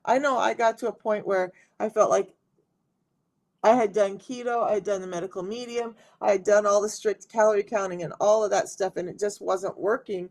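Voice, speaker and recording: Woman, middle-aged, raspy and gravelly, mid-pitched; chatty live-stream health coach talking to viewers. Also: steady tone, normal speed, darkish tone. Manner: chatty, talks straight to viewers. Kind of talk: casual live-stream talk.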